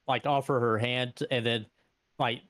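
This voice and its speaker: Man, tabletop player, casual hesitant chat, repeats himself, medium pitch, dry sound. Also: fairly even intonation, measured pace, fairly bright tone, no echo.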